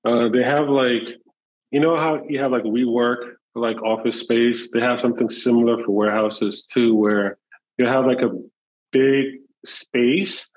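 A man's voice: mid-range voice, steady tone, unhurried. A man on a group video call, explaining an idea at length in a loose, rambling way with plenty of fillers. His voice sits in a middle range, and the call audio sounds a little muffled. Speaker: call participant.

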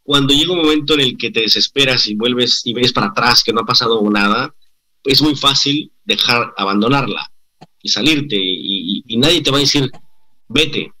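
A bright-toned man in his forties with a Mexican accent talks over a video call, earnest and reflective. He restarts phrases often as he works through his thoughts. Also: mid-range voice, moderate pace. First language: Spanish. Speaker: actor guest. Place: indoor room.

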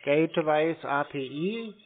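A man chatting casually on a phone call in a deadpan, dithering, colloquial way. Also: a little high, slow pace. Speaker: scambaiter playing along with caller. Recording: thin narrowband phone-like sound.

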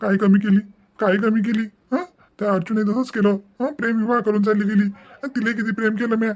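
A man voicing an angry, wounded middle-aged father in an animated family drama, emphatic and repetitive. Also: sits high, brisk, lightly acted. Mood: angry, stubborn.

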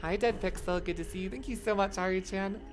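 A game streamer with a voice going hoarse, chatting casually, swearing a lot and reacting loudly to the game. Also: mid-range voice, fast, soft background music. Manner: excitable, profane, reacts loudly to gameplay. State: voice getting hoarse. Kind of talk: casual live-stream commentary.

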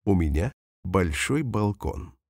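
A man reading example sentences slowly and carefully for language learners, saying each one twice. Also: sits low, very animated, dry recording, studio quality. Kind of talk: slow repeated phrase reading for learners.

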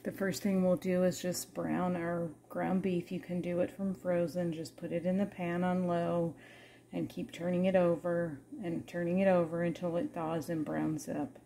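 A woman's voice: on the low side, flat delivery, moderate pace, vocal fry, dark tone, a little hiss or hum. A middle-aged woman casually narrating her home cooking, chatty and homey. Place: home kitchen.

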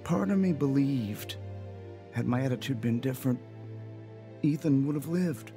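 A man's voice: mid-range voice, a little slow, over music, close to an everyday voice. A man acting a guilt-ridden, guarded young father in an audio drama, confessional and macho. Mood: somber, reflective. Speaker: lead actor and narrator. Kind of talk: audio drama acting and first-person narration.

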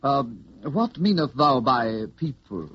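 A man playing a loyal high-born boy squire in an old radio drama, halting and deferential in archaic speech. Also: sing-song, a bit bright, breathy edge, heavily acted. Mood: hesitant, deferential. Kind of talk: 1940s radio acting. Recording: dull old radio broadcast recording.

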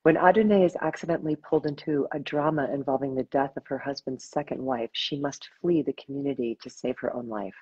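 A woman's voice: a bass voice, fairly quick, hardly any pauses, a little mellow, slightly rough, muffled recording. An older woman hosting an interview over a video call, speaking with effusive enthusiasm about a novel she loved. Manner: effusive, enthusiastic, laughs often. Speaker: host. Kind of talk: casual interview. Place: home room with plants.

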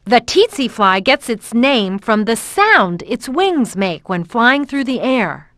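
A woman's voice: on the high side, big pitch swings, slow pace, fairly bright tone, no echo. A woman narrator giving a scripted educational narration for children.

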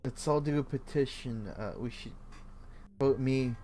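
A man's voice: mid-range voice, a little slow, dark tone, a little hiss or hum. A man streaming a video game, chatting casually in a laid-back, rambling way and swearing freely. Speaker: gaming streamer.

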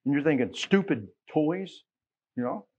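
A middle-aged man with an American accent preaching a sermon in a folksy, conversational way, leaning on emphatic rhetorical questions, with a husky voice. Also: a little high, lively intonation, measured pace, darkish tone, highs cut off. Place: church sanctuary, at a pulpit.

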